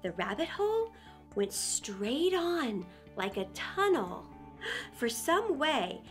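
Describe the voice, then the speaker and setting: A middle-aged woman reading a children's story, theatrical and deliberate with dramatic pauses. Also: sits high, fairly bright tone. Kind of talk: expressive storybook narration.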